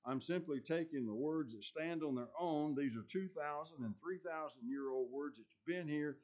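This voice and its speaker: A man's voice: a little high, moderate pace, darkish tone. A man preaching a sermon with a scratchy voice, talking earnestly and often restarting his phrases. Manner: earnest, with many restarts and ums. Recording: dull lo-fi recording.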